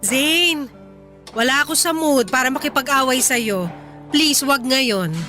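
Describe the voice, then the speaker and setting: A woman's voice: high-pitched, measured pace, sharp tone. A woman playing a defensive, hot-tempered middle-aged mother, scolding stubbornly in a Tagalog radio drama. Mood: weary, irritated. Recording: music underneath.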